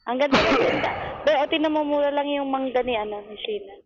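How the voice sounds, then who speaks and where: High-voiced Filipino woman on a muffled intercom. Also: fast talker. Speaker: vlogger's wife and passenger. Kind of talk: casual chat. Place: outdoors on a motorcycle ride. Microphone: helmet intercom. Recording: muffled intercom with faint noise.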